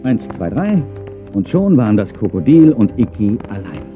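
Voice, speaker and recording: Guy telling a childrens story dramatically, very lively, slightly dull tape sound. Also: fairly low pitch, moderate pace. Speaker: narrator. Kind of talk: scripted children's story narration. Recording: dull cassette sound with music.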